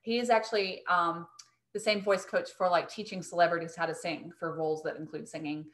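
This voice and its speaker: A woman's voice: on the low side, fairly even intonation, a little fast, a bit bright. A woman in her thirties, a business coach casually answering questions as host of an online webinar.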